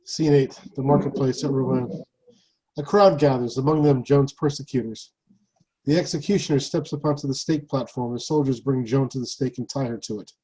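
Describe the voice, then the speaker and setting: A man reading stage directions as scripted narration in a husky voice. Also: medium pitch, steady tone, moderate pace, dark tone, rough recording.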